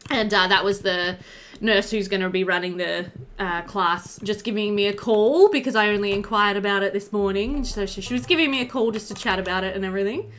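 A woman's voice: medium pitch, fairly quick, a bit bright. A young woman giving chatty, informal, giggly commentary while playing a video game. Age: young adult. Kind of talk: casual let's play commentary.

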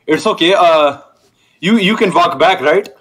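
A young man on a video call through a gaming headset, joking and boasting in a loud, cocky way. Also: on the high side, a little slow, rough recording. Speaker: online date contestant. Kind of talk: casual video call banter.

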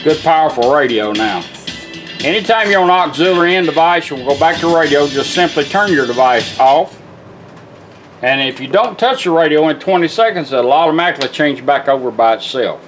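Folksy man, fairly high-pitched voice. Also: lively intonation, brisk, a bit bright. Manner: folksy, plain-spoken, hands-on. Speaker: car radio restorer and demonstrator. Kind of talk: casual product demonstration. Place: indoor workshop bench. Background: music from the demonstrated radio.

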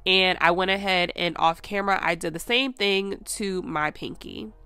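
Hoarse, stuffed-up woman vlogger. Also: fairly low pitch, bright, forceful tone, no room sound. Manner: chatty and rambling, full of "like" and "you guys". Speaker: nail art vlogger. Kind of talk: casual nail art tutorial.

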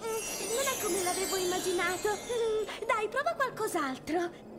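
A spoiled young society belle from a cartoon game. Her voice is extremely high and takes its time, fussing and gushing theatrically. Music plays clearly behind her. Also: animated, unhurried. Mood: excited, fussy. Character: spoiled, excitable young Southern belle heiress. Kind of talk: animated video game character acting.

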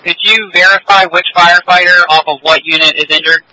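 A male fire dispatcher speaks formally over two-way radio. His voice is high and very sharp, and it stays flat in tone. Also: brisk, low-quality recording.

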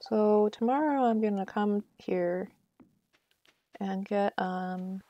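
A woman's voice: mid-range voice, slow pace, mellow, no room sound, lo-fi sound. A woman chatting casually on a live game stream, thinking aloud in a self-deprecating way.

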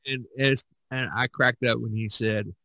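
A man hosting a podcast in casual chat, rambling and halting, often repeating his words. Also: medium pitch, fairly even intonation, pushed and bright, no echo. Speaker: podcast host.

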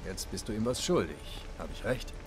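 Tiefer, spöttischer Adliger von einem Mann gespielt. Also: male voice, lowish voice, animated, moderate pace, background noise, lightly acted. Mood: mocking. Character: jaded, sardonic immortal nobleman bandit, cruel and cool. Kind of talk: dramatic video game dialogue. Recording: rain and outdoor ambience behind.

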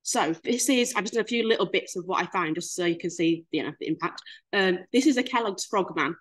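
A woman's voice: on the low side, fairly quick, fairly bright tone. A young woman from Yorkshire, her accent plain, talks through a slideshow on a video call. She is casual and chatty, with a self-deprecating sense of humour. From Leeds, Yorkshire, England. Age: 20s. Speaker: guest speaker. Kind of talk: casual webinar presentation.

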